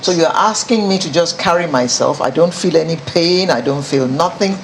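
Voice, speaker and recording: A woman's voice: low-pitched, normal speed, slight background noise. Teasing woman co-host. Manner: teasing, playfully skeptical. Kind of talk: studio discussion.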